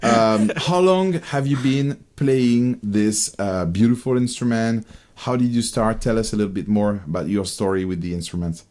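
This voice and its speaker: A man's voice: lowish voice, normal speed, hardly any pauses, darkish tone. A man with a French accent, co-hosting a casual podcast, playful and given to repeating words. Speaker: podcast co-host.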